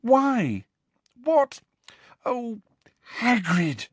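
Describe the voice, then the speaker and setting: A man's voice: very high voice, huge pitch swings, very slow, a bit bright, no room sound, pitched above the performer's own voice, heavily acted. A man voicing a frightened, exasperated teenage girl in an audiobook, in a distraught, reproachful manner. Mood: near tears, shrill.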